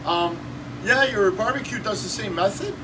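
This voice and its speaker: A man in his fifties, a shop owner giving an energetic, salesy cooking demo in a small shop office, filmed on a phone. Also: high-pitched, moderate pace. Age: 50s. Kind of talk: casual promotional demo. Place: small shop office with kitchen counter, indoors. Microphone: phone camera held by someone nearby. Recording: distant phone audio with room noise.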